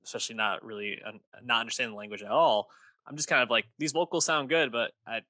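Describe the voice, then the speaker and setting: A man's voice: medium pitch, steady tone, quick pace, sharp tone, dry recording. A man co-hosting a music review podcast, chatting in an easygoing way with small hesitations. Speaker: podcast co-host. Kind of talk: casual music review chat.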